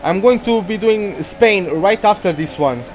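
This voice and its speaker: High-voiced man with a Portuguese accent. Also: animated, a little slow. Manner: chatty, rambling, easily distracted. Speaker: gaming streamer. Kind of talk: live commentary while playing a racing game.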